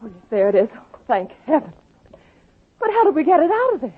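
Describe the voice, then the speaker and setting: Sly high-voiced young dame, brisk, muffled old radio sound. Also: female voice, big pitch swings. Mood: flustered. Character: scheming young woman in a hurry.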